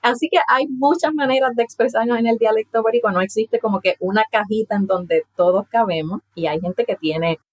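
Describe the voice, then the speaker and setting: A woman in her thirties from Puerto Rico with a Puerto Rican accent and soft aspirated s sounds, explaining in a casual interview and laughing often. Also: fairly high pitch, fairly quick, hardly any pauses, dry recording. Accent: Puerto Rican Spanish, aspirated s. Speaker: guest, language content creator.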